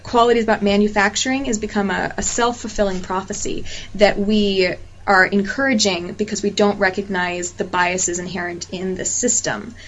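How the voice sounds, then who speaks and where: A woman's voice: mid-range voice, little pitch movement, moderate pace. A woman who tutors people for quality certification exams, explaining her points earnestly and emphatically with repeated examples. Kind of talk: explanatory monologue. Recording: slightly muffled lo-fi audio with faint hiss.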